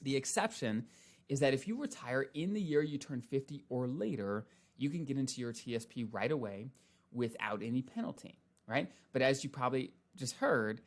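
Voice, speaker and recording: A man's voice: medium pitch, expressive, normal speed. A man giving a casual instructional explanation as a financial advisor, going step by step in a conversational way with frequent check-in tags.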